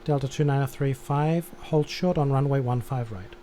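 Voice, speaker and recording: A man's voice: mid-range voice, moderate pace, dark tone. A man live-streaming as an air traffic controller, giving instructions and commenting on the game. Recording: background noise.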